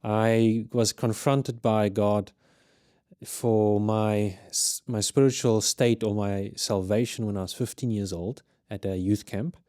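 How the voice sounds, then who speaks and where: A man in his thirties with a South African accent tells his story in an earnest, measured way, often restarting his sentences. His voice sits low and mellow. It is recorded dry, with no room echo. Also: fairly even intonation. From Zimbabwe. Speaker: guest, ministry leader. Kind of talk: podcast interview. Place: studio.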